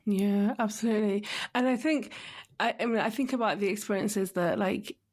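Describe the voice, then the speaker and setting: A woman's voice: medium pitch, normal speed, darkish tone. Young woman, podcast host, mid-range voice, thoughtful, hedging. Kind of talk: casual interview chat.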